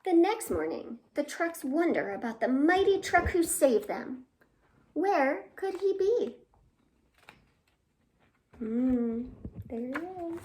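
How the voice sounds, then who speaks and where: A woman's voice: high voice, darkish tone, a little hiss or hum. A woman hosting a children's storytime, reading expressively with asides and questions to young listeners. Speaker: library storytime host.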